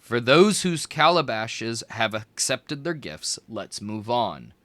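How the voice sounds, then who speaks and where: A man reading aloud, voicing a kind woman teacher who encourages her young students, raising his pitch for her lines. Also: big pitch swings, slow, a bit bright. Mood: encouraging.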